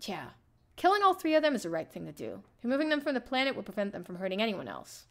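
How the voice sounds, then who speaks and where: A young woman streamer reading a visual novel aloud in an expressive, theatrical first-person narration. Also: medium pitch, quick pace, vocal fry. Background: soft game music.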